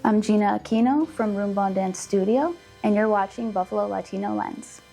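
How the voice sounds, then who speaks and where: A woman dance instructor talks casually to camera in a medium-pitched voice, giving practical information. Faint music plays behind her. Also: moderate pace, mellow, low-quality recording. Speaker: dance instructor and studio founder. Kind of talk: casual promotional talk to camera.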